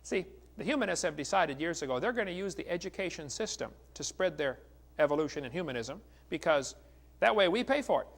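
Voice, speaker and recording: American guy doing a preachy lecture, folksy and argumentative, kinda high voice with lots of gravelly fry. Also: animated, a little fast. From United States. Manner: folksy, polemical, addresses listeners as "folks". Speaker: evangelist lecturer. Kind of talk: religious lecture with read-out quotations.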